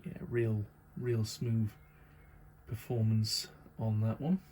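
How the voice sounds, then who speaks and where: A slightly croaky man chatting casually as he shows off and tests a model locomotive, repeating his praise and filling pauses with ums. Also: lowish voice, steady tone, a little mellow, slight background noise. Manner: chatty, repeats praise words, many fillers. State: croaky from hay fever and little sleep. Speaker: model railway hobbyist reviewer. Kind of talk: casual unboxing and test-run commentary.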